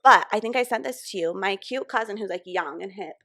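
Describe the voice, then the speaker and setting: Brief: young woman co-host, gravelly fry, bright edge, a touch raspy, giggly and casual. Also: mid-range voice, brisk, no room sound, studio quality. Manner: playful, giggly, trails off mid-sentence. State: a little raspy from concert screaming. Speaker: podcast co-host. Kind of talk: casual chat.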